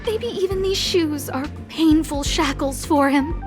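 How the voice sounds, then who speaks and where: A woman's voice: high voice, a little slow, music in the background. A woman voicing an earnest, fretful young female boss in a video game, full of guilt. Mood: worried, regretful.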